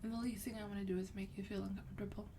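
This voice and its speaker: A woman playing a sweet, teasing vampire demon in a gentle, breathy, partly whispered ASMR roleplay. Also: mid-range voice, little pitch movement, natural voice. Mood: tender, reassuring. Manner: gentle, polite, unhurried, coaxing. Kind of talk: soft-spoken ASMR roleplay. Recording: distant mic, clear background noise.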